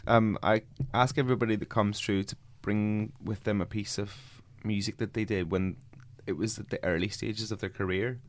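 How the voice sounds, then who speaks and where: A man's voice: fairly low pitch, fairly even intonation, normal speed, few pauses. A male podcast host in a small studio, chatting in a jokey, rambling way and laughing often. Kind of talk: casual interview chat. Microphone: studio mic.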